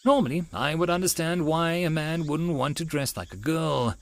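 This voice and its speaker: A man narrating a first-person story as an audiobook reader, in an expressive storytelling style. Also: a little high, normal speed.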